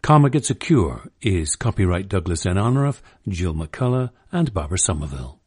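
A man reading out formal recording credits in a slow, deliberate announcing manner. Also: low voice, big pitch swings, a little mellow, husky. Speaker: archive announcer.

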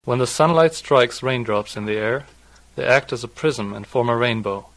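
A man in his twenties from southwest Germany, a native German speaker with a German accent, speaking in a halting, explanatory way. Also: medium pitch, fairly bright tone, poor audio. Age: 20s. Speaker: interviewee, doctoral student. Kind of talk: read passage, then unscripted personal account.

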